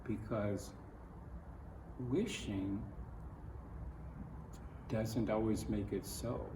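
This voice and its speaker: A man's voice: lowish voice, steady tone, very slow. An older man reading a children's picture book aloud, with folksy, grandfatherly asides spoken directly to young listeners. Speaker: storybook reader. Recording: roomy echo, distant mic, noisy background.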